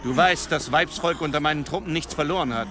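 An actor playing a stern, indignant medieval duke with an imperious, abrupt manner in an audio drama. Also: male voice, fairly high pitch, brisk, over music, close to an everyday voice.

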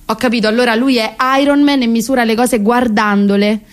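Woman acting young woman, high-pitched, ironic, exasperated, self-mocking, conversational, halting, comic radio monologue. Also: fairly even intonation, lightly acted. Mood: sarcastic. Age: young adult.

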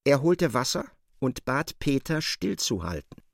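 A man narrating a literary radio drama in a gravelly voice. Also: medium pitch, sing-song, unhurried, dry recording, studio quality.

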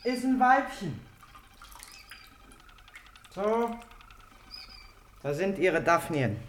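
A man's voice: high voice, sing-song, extremely slow, slight background noise, heavily acted. A man playing a chatty, colloquial pet shop seller in a German radio play. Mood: chatty, persuasive. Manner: colloquial, repetitive, chatty sales talk.

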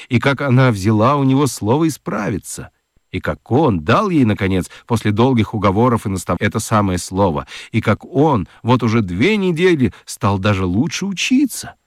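A man's voice: lowish voice, lively intonation, normal speed, no echo, clean studio sound. A man narrating a children's radio story expressively from a script.

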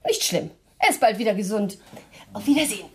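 An actress playing a friendly, forthright young music teacher on a visit in a 1980s German radio drama, chatty and easygoing. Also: female voice, medium pitch, lively intonation, a little fast, husky. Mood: frank, kindly.

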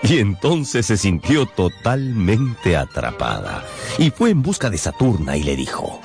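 A man narrating a radio novel in a theatrical, measured and wry manner. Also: on the low side, wildly swooping pitch, vocal fry, exaggerated voice. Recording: music behind.

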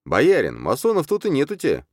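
Man playing a meddling underling, pitched up. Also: wildly swooping pitch, a little fast, no room sound, studio quality. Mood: pedantic. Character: meddling, pedantic underling, plain-spoken adult man.

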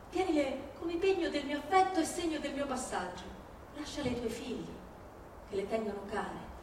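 A tender young woman, played by a stage actress, speaks her farewell letter with intimate, measured wistfulness. Her voice is on the high side. The live recording is very echoey and clearly noisy. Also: distant mic. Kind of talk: theatrical monologue in a reading-concert.

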